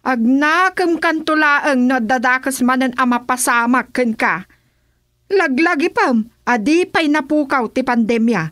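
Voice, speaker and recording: A woman's voice: sits high, animated, a little slow, a bit bright, no echo, studio quality. A woman with an Ilocano accent playing a nagging, scolding wife in a radio drama.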